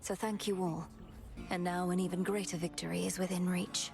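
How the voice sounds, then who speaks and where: A woman voicing a solemn, determined young witch goddess in a video game, with formal, measured, archaic phrasing. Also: on the low side, little pitch movement, gravelly. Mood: solemn, resolute. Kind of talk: scripted video game voice acting. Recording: music behind the voice.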